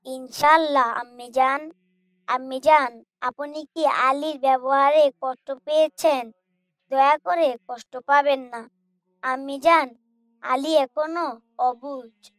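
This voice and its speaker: Devout young cartoon boy played by a woman, high-pitched, polite and measured, very dry sound. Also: fairly even intonation, a bit bright, no echo, rough recording. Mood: respectful, reassuring. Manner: earnest, respectful, measured. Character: polite, pious young boy, gently admonishing his brother. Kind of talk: Islamic moral cartoon dialogue.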